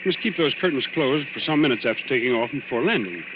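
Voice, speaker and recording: A man acting a reassuring gentleman in an old radio thriller, with a mid-range, very animated voice. He is confident and a little patronizing, heard on a tinny recording with loud noise. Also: mid-range voice, close to an everyday voice. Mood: soothing, confident. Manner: soothing, brisk, lightly patronizing. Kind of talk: 1940s radio drama acting.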